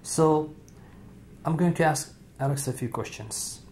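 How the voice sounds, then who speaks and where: A man hosting a tech tutorial, talking casually off camera in an indoor room with frequent fillers and pauses. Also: a little high, slight background noise. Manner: relaxed, halting with frequent "uh" fillers. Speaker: tech video host.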